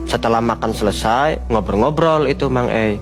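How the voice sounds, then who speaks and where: A man, a guest telling a long personal story in an unhurried, vivid way. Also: medium pitch. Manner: unhurried, vivid storyteller who often addresses the host. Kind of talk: storytelling in a podcast chat. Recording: music playing behind.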